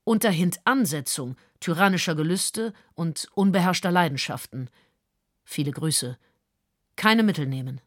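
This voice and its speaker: A woman's voice: on the low side, expressive, unhurried, no echo, clean studio sound. An actress playing a stern female psychiatrist who reads a firm, admonishing letter to her patient.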